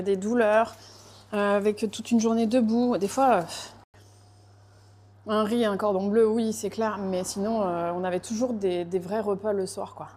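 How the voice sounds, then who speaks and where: A woman's voice: mid-range voice, warm tone, a little hiss or hum. A woman chatting casually, hesitant, with frequent fillers and restarts. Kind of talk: casual chat. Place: lives in Portugal.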